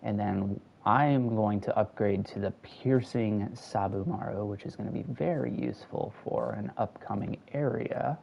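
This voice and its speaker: Raspy low-voiced man, gaming host. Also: moderate pace, hardly any pauses, dull audio, a little hiss or hum. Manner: talks close to the mic, keeps his voice down. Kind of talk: casual live gameplay chat. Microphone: console headset or close mic.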